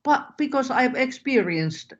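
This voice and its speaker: A woman's voice: sits high, animated, a little slow. A woman moderating an informal webinar, on slightly dull call audio. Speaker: moderator, teacher.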